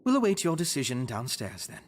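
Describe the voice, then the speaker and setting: An actor voicing a sly, glib broker for an assassins' guild in a video game, teasing and theatrical. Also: male voice, medium pitch, huge pitch swings, brisk. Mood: coolly persuasive. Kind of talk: dramatic video game voice acting.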